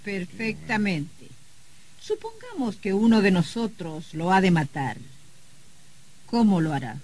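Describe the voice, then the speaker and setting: Animated, skeptical, formal man. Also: high-pitched, measured pace, husky, full character voice. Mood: skeptical, probing. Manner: formal, pointed. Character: skeptical, probing man challenging his host. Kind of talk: old radio drama acting. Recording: faintly noisy vintage radio recording.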